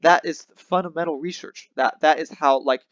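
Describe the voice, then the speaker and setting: A man's voice: on the high side, normal speed, a bit bright, no room sound, dull audio. A man hosting a show who comments on a debate clip in a casual way, thinking aloud with fillers and restarts. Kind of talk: reaction commentary.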